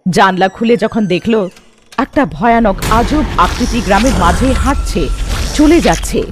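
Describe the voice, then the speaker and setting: A man's voice: high-pitched, expressive, a little slow, music in the background. A man giving dramatic scripted narration for a cartoon story.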